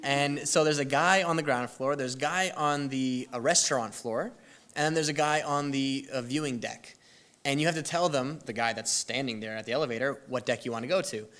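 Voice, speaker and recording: A man's voice: medium pitch, fairly quick, a bit bright. A young man casually telling a church youth audience about a trip, rambling and breaking off mid-sentence with lots of fillers. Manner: rambling, self-interrupting storytelling with fillers. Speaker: youth group presenter. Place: church hall.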